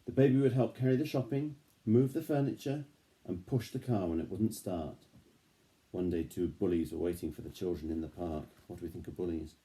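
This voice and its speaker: A man with a very deep, dark and mellow voice reads a picture book to a child. His delivery is gentle and engaging. He often pauses the story to put simple questions to the young listener.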